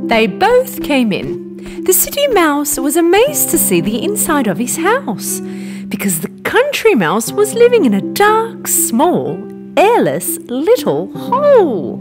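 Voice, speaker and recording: Female narrator for kids' stories: highly expressive scripted read, music bed behind. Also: takes it slowly. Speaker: storyteller. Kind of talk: scripted children's story narration.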